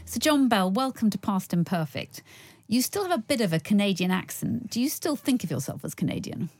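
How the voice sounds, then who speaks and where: A woman's voice: medium pitch, sing-song, fairly quick, darkish tone, soft background music. Woman co-hosting an interview podcast. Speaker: podcast co-host. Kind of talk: scripted introduction and interview questions.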